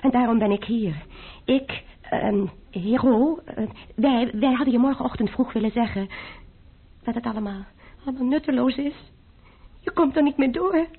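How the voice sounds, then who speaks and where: A woman's voice: a little high, normal speed, slightly rough. An actress playing an anxious young woman who pleads earnestly with the man she loves in a radio drama. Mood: worried. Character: anxious young woman worried for her lover. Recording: tinny old broadcast.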